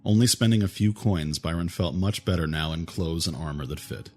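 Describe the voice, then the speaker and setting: A man's voice: sits low, a little fast, a little mellow. A man narrating fantasy fiction as a scripted audiobook. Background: music.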